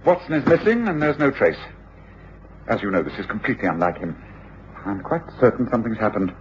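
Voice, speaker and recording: An actor playing a shrewd, commanding British gentleman detective in a radio drama, speaking precisely and with authority. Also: lowish voice, big pitch swings, a little fast. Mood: grave, worried. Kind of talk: 1950s-60s radio drama acting. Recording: dull, hissy old radio recording.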